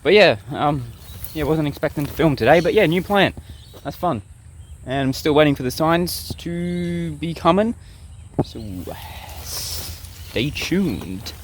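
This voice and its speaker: A young man talking casually to viewers while filming himself outdoors in the bush, rambling and relaxed, with insects chirping behind him. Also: a little high, takes it slowly, pauses often, slight background noise. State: sick, blocked nose and sore throat. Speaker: bushcraft vlogger.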